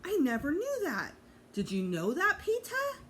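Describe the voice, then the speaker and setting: A woman's voice: sits high, wildly swooping pitch, unhurried, fairly bright tone, pitched above the performer's own voice, exaggerated voice. A woman voicing an eager, blunt, boastful little kindergarten boy from a children's storybook, over background noise. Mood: amazed.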